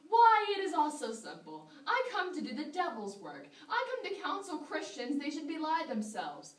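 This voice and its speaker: A woman's voice: sits high, wildly swooping pitch, moderate pace. Echoing and a little far from the mic, a woman plays a guilt-ridden Puritan minister. The delivery is earnest, pleading and preachy, in amateur drama style. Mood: agitated, anguished. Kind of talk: amateur stage-play acting. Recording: echoey room, distant mic.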